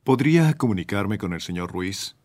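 A man plays a job applicant in a scripted lesson dialogue. His delivery is formal and polite, fairly low in pitch, with a dry sound. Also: expressive, normal speed, darkish tone, no room sound, natural voice. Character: formal young male job applicant on phone.

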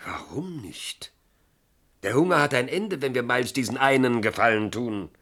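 An actor playing a proud, pragmatic Dakota chief and father in a German radio drama, grave and measured. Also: medium pitch, expressive, fairly bright tone. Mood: defensive, firm.

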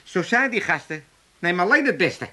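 A man's voice: high voice, sing-song, moderate pace, sharp tone, exaggerated voice. A man playing a boastful, self-satisfied working-class father in a radio comedy, brash and chatty. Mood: smug. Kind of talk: 1980s radio comedy acting.

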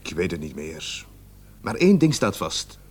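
An actor playing a gruff, weary, sceptical police sergeant in a radio play, grumbling bluntly in colloquial speech. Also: male voice, deep voice, wildly swooping pitch, moderate pace, heavily acted. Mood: weary, pondering. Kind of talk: Dutch-language radio drama dialogue.

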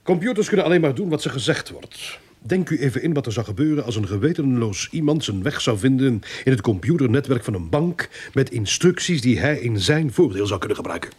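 A man's voice: medium pitch, lively intonation, fairly quick, darkish tone, breathy edge. An actor playing a brusque, domineering and scheming crooked policeman in a radio drama. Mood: explaining, impatient. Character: gruff crooked policeman, male, irritable and scheming.

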